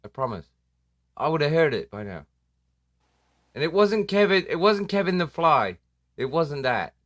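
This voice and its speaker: A man chats on his live stream with a bright, forceful, slightly high voice. He jokes, teases, swears casually and puts himself down. The sound is close and dry. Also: sing-song, normal speed, dry recording. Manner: joking, self-deprecating, swears casually. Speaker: livestream host. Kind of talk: casual livestream chat.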